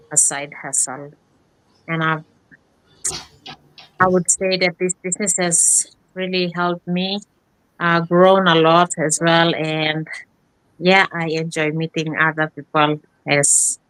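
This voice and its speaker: A woman teacher from Bougainville giving a polite, steady self-introduction on a video call. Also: low-pitched, no room sound, low-quality recording.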